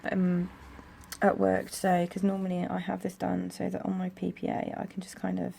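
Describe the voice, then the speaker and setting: A young woman with a croaky voice, chatting casually at home while decorating her planner. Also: on the low side, monotone, moderate pace. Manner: chatty, rambling, soft-spoken. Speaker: planner vlogger. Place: home room near a busy road. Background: traffic noise.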